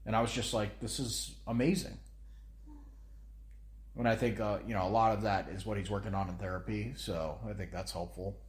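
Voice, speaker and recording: A man's voice: fairly low pitch, fairly even intonation, a little fast. A man in his thirties co-hosting a casual parenting podcast, with a commanding voice that carries. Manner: commanding, carrying voice. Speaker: podcast co-host, father. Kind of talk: casual chat. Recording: echoey room.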